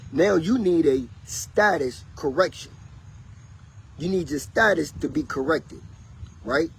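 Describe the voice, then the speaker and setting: A man's voice: mid-range voice, slight background noise, low-quality recording. A man, talking in a loose, rambling and preachy way to his livestream viewers. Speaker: vlogger. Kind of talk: casual livestream monologue.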